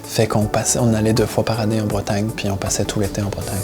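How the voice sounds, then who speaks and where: A man's voice: on the low side, little pitch movement, quick pace. Man talking over music. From Paris region, France, with Breton roots. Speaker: seaweed harvesting diver and business owner, interviewee. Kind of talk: relaxed first-person interview account.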